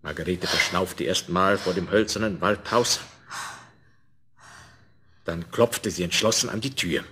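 Low-voiced male storyteller on crackly vinyl. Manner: expressive, measured, addresses the listener. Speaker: narrator. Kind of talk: scripted fairy-tale storytelling. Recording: crackly 1970s vinyl record transfer.

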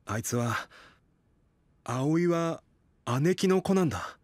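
A man's voice: on the high side, animated, slow pace, darkish tone, no room sound. A man acting an earnest, weary young idol in an anime-style audio drama, speaking casually and frankly. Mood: hesitant, confessing. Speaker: voice actor.

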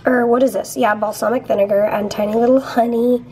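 A woman's voice: fairly high pitch, steady tone, brisk, darkish tone, low-quality recording. A young woman co-hosting a casual cooking vlog in her home kitchen, gushing over small things. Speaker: vlog co-host. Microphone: handheld camera.

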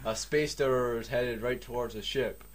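A man's voice: mid-range voice, steady tone, a little fast. A young Scottish man reading a badly written story aloud, mocking it with swearing and jokes. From Scotland. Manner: sarcastic, swears a lot, breaks off to joke. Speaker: reader.

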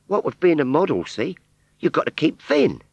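A man's voice: fairly high pitch, huge pitch swings, moderate pace, gravelly, husky, dry recording, dull audio, voice pushed up, full character voice. A man voicing a giggly young female scarecrow with a Cockney accent in a storybook reading. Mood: cheeky. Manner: cheeky, giggly. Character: giggly young female plastic scarecrow, a former shop model.

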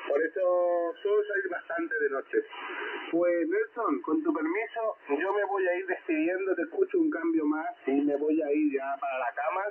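A man's voice: a little high, lively intonation, moderate pace, a crowd in the background. A man with an accent of Spain, chatting casually as an amateur radio operator. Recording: tinny, static-laden shortwave radio transmission. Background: radio static.

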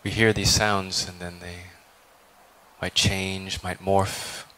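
A man leading a guided meditation as a teacher, speaking calmly and contemplatively with long, deliberate pauses. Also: deep voice, rough recording. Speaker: meditation teacher, Buddhist monk.

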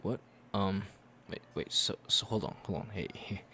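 A man acting a flustered, jealous young best friend in an intimate roleplay, with halting, stammering speech and sighs. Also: deep voice, sharp tone, slight background noise. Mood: jealous, unsettled. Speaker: roleplay audio performer.

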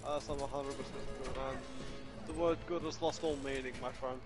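Dry-joking man over loud game music. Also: mid-range voice, fairly even intonation, brisk. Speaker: gamer. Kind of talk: casual co-op gaming chat.

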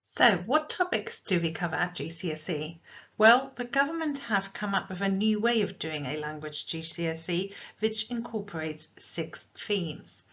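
A woman who leads a school languages department, presenting course information in a clear, explanatory way. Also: fairly low pitch, expressive, normal speed, fairly bright tone, highs cut off. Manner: clear, explanatory teacher delivery. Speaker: subject leader for languages.

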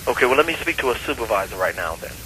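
A man's voice: mid-range voice, very animated, racing. A man answering a church phone, firm and questioning, on a slightly noisy call recording. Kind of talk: phone conversation.

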